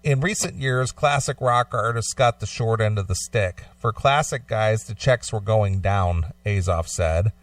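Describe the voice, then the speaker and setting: Male radio co-host, slightly low pitch, measured and matter-of-fact, recorded dry and a little off-mic. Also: no room sound, distant mic. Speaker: talk show co-host. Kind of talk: reading news aloud and casual discussion.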